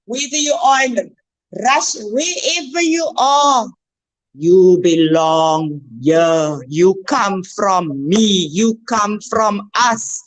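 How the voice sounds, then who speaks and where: Slow, impassioned Cape Flats woman. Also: mid-range voice. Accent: South African, Cape Flats. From South Africa. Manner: slow, declaiming, preacherly. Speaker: guest. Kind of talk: impassioned online discussion.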